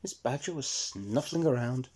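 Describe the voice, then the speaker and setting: A man's voice: medium pitch, lively intonation, normal speed, darkish tone, breathy edge. A man narrating a children's story as a storyteller.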